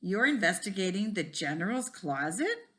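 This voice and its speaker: A woman's voice: medium pitch, very animated, normal speed, a bit bright. A woman voicing a friendly, easygoing male computer teacher in a storybook, with a folksy, explanatory way of talking. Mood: reminiscing.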